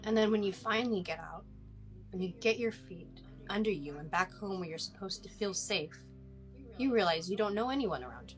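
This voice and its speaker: A woman's voice: medium pitch, expressive, normal speed, faint music behind, close to an everyday voice, rough recording. A woman playing a shaken but defiant survivor, speaking earnestly in a film script read-through. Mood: fragile, lost. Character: shaken woman survivor, self-doubting yet angry and defiant.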